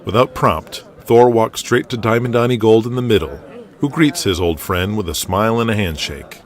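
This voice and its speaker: Low-voiced male drama narrator. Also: normal speed. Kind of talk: scripted audio drama narration. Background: music.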